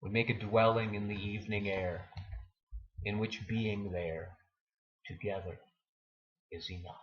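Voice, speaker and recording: A man hosting a poetry reading, talking and reciting in a measured, deliberate manner. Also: lowish voice, fairly even intonation, muffled recording. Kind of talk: informal introduction and poetry recitation.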